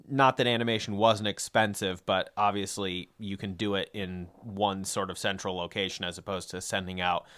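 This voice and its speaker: A man with a fairly low, smooth voice hosts a history podcast. He explains in a measured, partly scripted way, dropping in small qualifying asides. The sound is dry and close, with no room around it. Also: fairly even intonation, fairly bright tone, no room sound. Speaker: podcast host.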